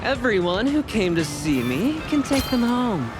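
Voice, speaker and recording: A wealthy director who answers everything in a breezy, offhand way with a dry edge. His voice is lively, with music under it. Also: very high-pitched, measured pace, heavily acted. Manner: breezy, nonchalant, wry. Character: rich, flashy male director, cool and dry. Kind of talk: video game cutscene voice acting.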